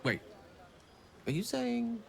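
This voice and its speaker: An actor playing an erudite, wistful gentleman wizard in a video game, with florid, theatrical and articulate phrasing. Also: extremely high pitch, very animated, slow pace, creaky, slight background noise, exaggerated voice. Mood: playful, fond. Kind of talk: video game character dialogue.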